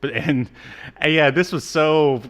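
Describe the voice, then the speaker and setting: A man's voice: on the high side, unhurried, fairly bright tone. A man in his thirties or forties co-hosting a podcast, casually recapping a show with wry, mocking asides. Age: 30s to 40s. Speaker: podcast co-host. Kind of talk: casual chat recapping a cartoon.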